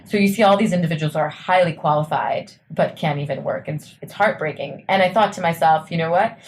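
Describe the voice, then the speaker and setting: A woman's voice: sits low, darkish tone, slight background noise. A young woman from New York, a startup co-founder answering interview questions in an earnest, explanatory way with frequent fillers. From New York, USA. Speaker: startup co-founder, podcast guest.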